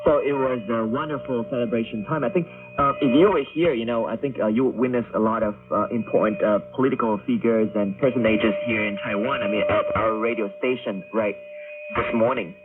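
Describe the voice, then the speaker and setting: A man's voice: medium pitch, fairly even intonation, a little fast. A man working as a radio host, announcing and chatting with a co-host. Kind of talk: radio announcing and co-hosted chat. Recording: tinny shortwave radio recording with music.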